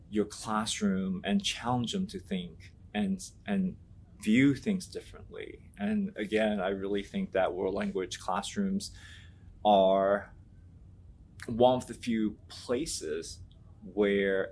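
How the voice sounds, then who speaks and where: A man's voice: on the low side, darkish tone. A man from Taiwan whose first language is Mandarin, a Latin teacher giving earnest, reflective interview answers, thinking aloud with fillers and restarts.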